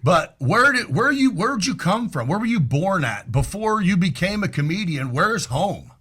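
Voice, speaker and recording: A man hosting a business podcast, his voice sitting a little higher than most men's. He reads his guest introduction in a bright, announcer-like way, then eases into friendly, chatty questions. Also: big pitch swings, normal speed, slightly rough. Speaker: podcast host. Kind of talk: scripted intro, then casual interview.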